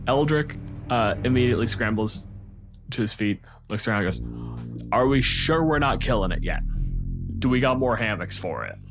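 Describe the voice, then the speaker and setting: A man's voice: medium pitch, animated, moderate pace, over music. A man running a tabletop roleplaying game as game master, narrating in a casual, dryly wry way.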